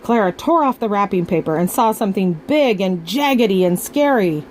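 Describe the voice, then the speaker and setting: Lady reading a kids storybook out loud, expressive, some noise behind her. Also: mid-range voice, normal speed. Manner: expressive, acting out the dialogue. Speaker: storybook reader, a grandmother reading for children. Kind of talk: reading a children's picture book aloud with asides.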